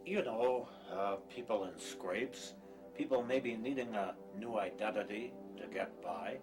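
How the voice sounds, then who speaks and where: Guy playing a jittery fugitive on the operating table, slow hesitant talker, music behind him. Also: on the low side, close to an everyday voice. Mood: nervous. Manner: plain-spoken, uneasy, hesitant. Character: nervous, hesitant male patient, a fugitive in scrapes. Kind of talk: audio drama acting.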